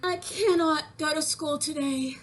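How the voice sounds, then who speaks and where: A woman's voice: sits high, unhurried, faint music behind, voice pushed up. A woman voicing a whiny, melodramatic little girl faking illness in a children's poem reading, with plaintive complaining. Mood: whiny, exaggerated.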